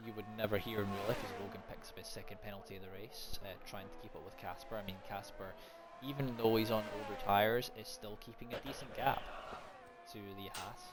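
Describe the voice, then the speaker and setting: A man with a naturally quiet voice giving live, unscripted sim racing commentary, mixed with casual chat. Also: lowish voice, steady tone, fairly quick. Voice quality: soft-spoken, naturally quiet voice. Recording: noisy home stream microphone.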